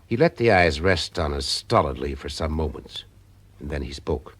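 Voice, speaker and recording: An actor playing a wry, cool private eye in a radio detective drama, speaking in a dry, understated way. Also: male voice, rumbling low, expressive, normal speed, slightly rough, full character voice. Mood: measured, suspenseful. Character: wry, cool private eye telling his own story. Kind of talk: radio detective drama with first-person narration.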